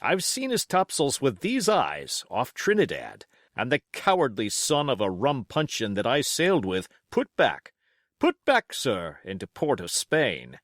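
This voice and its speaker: A man's voice: mid-range voice, wildly swooping pitch, measured pace, a bit bright, no echo, clean studio sound. A man voicing a bluff, hot-headed country squire in an audiobook, exclamatory, grand and condescending. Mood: excited, blustering.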